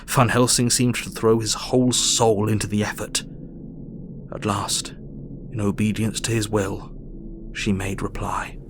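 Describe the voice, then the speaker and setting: A man playing an earnest Victorian doctor, narrating his diary in a measured, formal way for an audio drama. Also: lowish voice, flat delivery, background noise, close to an everyday voice. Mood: sober, tense.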